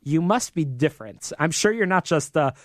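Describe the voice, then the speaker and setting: A man's voice: a little high, animated, normal speed, no room sound. An American man hosting a live business talk radio show from a studio in an upbeat, promotional manner.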